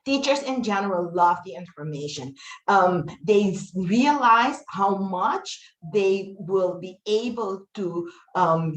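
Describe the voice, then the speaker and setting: A woman in her fifties with Papiamento as her first language, speaking earnestly on a webinar panel with frequent pauses. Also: medium pitch, echoey room, poor audio. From Dutch Caribbean. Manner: earnest, fluent, many "um" pauses. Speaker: webinar panelist, teacher trainer.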